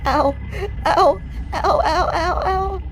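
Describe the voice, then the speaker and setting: A man plays a frightened little girl in an audio drama, her voice pitched very high and slowed to a dazed, tearful falter. Music plays prominently behind her. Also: a bit bright, heavily acted. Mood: pained, crying.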